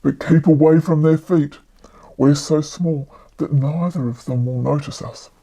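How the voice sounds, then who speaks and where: A woman voicing a calm, commanding male magician in a storybook, speaking in a deliberate, reassuring way. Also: low-pitched, pitched below the performer's own voice. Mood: urgent.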